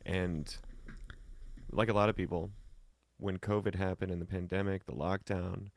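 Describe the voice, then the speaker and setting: A man from the United States talking casually as a guest, telling anecdotes in a laid-back, colloquial way with pauses mid-thought. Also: low-pitched, level tone, gravelly. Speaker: guest musician and voice talent. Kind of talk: casual chat, personal anecdotes.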